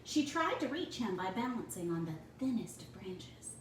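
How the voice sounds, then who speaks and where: A woman reading a picture book aloud to young children in a deliberate way, pausing for asides to the listeners. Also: medium pitch, sing-song. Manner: slow, deliberate phrasing for children. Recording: roomy, distant mic with clear background noise.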